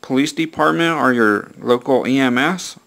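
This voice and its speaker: A man's voice: medium pitch, normal speed, gravelly, fairly bright tone. A man talking casually through a hands-on product review in a plain-spoken, repetitive way, often punctuating with "all right".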